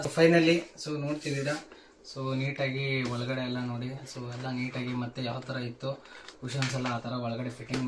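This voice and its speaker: A man's voice: mid-range voice, slight background noise. A man giving a casual hands-on how-to demonstration, informally mixing in English words. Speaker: tech vlogger presenting a tutorial.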